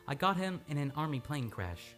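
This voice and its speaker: An actor playing an earnest, tender man haunted by returning memories, with halting, dramatic delivery in an old-time radio play. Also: on the high side, sing-song, fairly bright tone. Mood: earnest recollection. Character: haunted male amnesia patient recovering his memory, tender and earnest. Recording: studio radio play with music behind.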